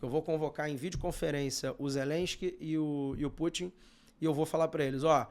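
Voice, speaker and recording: A man's voice: on the high side, fairly even intonation, brisk, a little mellow. Chatty Brazilian podcast co-host man. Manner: chatty, informal, many fillers. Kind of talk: casual news-discussion chat.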